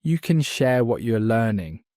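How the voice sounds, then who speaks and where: A man teaching a beginner English lesson, speaking slowly and clearly so learners can follow. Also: mid-range voice, big pitch swings, dark tone, dry recording, clean studio sound. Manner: slow, clear, deliberate delivery for learners. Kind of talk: slow scripted lesson narration.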